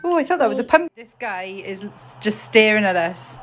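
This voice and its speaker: A woman's voice: mid-range voice, animated, normal speed, over a noisy crowd. A woman, chatting casually and playfully as a mother gaming with her family. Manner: playful, exclamatory. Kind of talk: casual gameplay chat.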